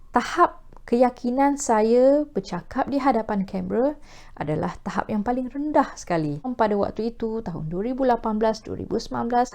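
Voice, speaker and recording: A woman with a Malaysian accent hosting a talk video, speaking formally with measured, newsreader-like diction. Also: fairly high pitch, darkish tone. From Perak, Malaysia. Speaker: host of a talk video series. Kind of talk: formal scripted presentation to camera.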